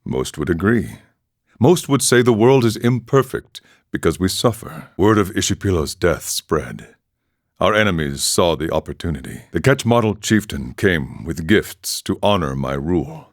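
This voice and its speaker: Really low voiced old-world warrior monk for a game, ex chieftain, solemn n measured storytelling, super dry close sound. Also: male voice, sing-song, no echo. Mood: grave, storytelling. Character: weathered, philosophical male warrior monk, former chieftain. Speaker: video game voice actor. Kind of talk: scripted dramatic storytelling monologue.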